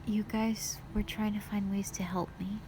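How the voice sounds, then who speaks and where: A woman's voice: medium pitch, little pitch movement, moderate pace, noisy background, natural voice. A woman playing a fragile, remorseful young hospital patient in an audio roleplay, speaking hesitantly and apologetically. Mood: subdued, remorseful. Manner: hesitant, apologetic, sighing between short phrases. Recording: piano music behind the voice.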